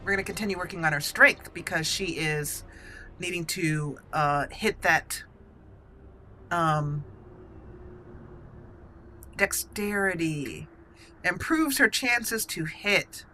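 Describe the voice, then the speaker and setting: Bright-voiced woman doing let's play commentary. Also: on the low side, expressive, slow, pauses often, a little hiss or hum. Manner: thinks aloud, muses over choices. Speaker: gaming channel host and player.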